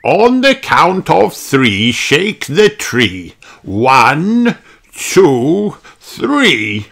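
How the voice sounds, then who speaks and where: A man's voice: on the high side, sing-song, drawn-out words, creaky, a bit bright, pitched above the performer's own voice, exaggerated voice. A man voicing a jolly, slightly grumbling old grandfather pig in a storybook reading.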